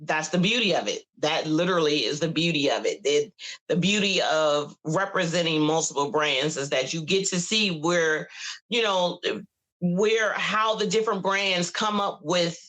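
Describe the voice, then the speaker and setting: A woman over fifty with a bright, forceful voice chats easily as a podcast guest. She stops, restarts and repeats words as she thinks aloud. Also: lowish voice, normal speed. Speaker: podcast guest, cigar brand ambassador. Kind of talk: casual chat.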